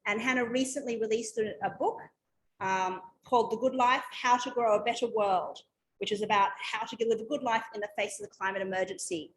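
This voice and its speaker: A woman's voice: a little high, fairly even intonation, brisk, slight background noise, poor audio. A woman hosting a community meeting informally, somewhat hesitant and loosely organised as she speaks. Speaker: meeting host. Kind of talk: informal introduction of a guest speaker.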